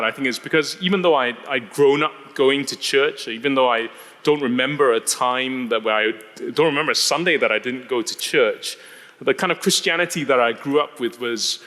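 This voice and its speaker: Singapore guy sharing his story in an interview, bright voice, repeats himself a bit, hall reverb. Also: medium pitch. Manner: halting, repeats words and phrases. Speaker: interview guest giving testimony. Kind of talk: personal story in live interview.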